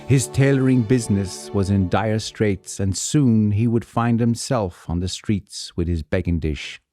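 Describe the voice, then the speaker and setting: A male storyteller delivers a scripted folk tale in a fairly low, mellow voice. His telling is measured and theatrical, with deliberate pauses, and music plays underneath. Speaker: storyteller, narrator.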